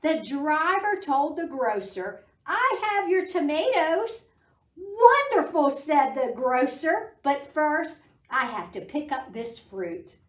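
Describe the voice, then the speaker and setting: A middle-aged woman hosting a children's storytime, reading aloud and talking to young children in an animated, encouraging way. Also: high-pitched, slow. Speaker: library storytime host. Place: small indoor library room. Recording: roomy echo, distant microphone.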